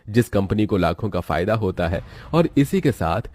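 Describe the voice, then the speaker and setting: Low, mellow male story narrator. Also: animated, normal speed, no echo.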